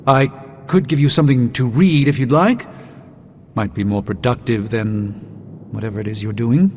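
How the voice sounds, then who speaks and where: A man's voice: medium pitch, moderate pace, a little hiss or hum, lightly acted. A man voicing a solemn, erudite sorcerer superhero in a video game, with formal, theatrical diction. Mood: dry, wry. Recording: lo-fi game audio under loud music.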